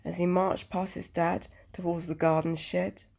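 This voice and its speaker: A woman with a husky voice reading a picture book aloud to a child, expressively, with chatty questioning asides. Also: on the low side, level tone, moderate pace, darkish tone.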